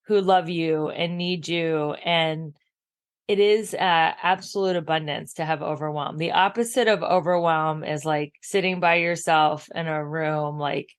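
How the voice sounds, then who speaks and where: A woman's voice: lowish voice, clean tone. A middle-aged woman giving advice in a casual interview, talking emphatically with lots of "like", her voice froggy from just waking up. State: husky morning voice. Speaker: podcast guest, abundance mentor.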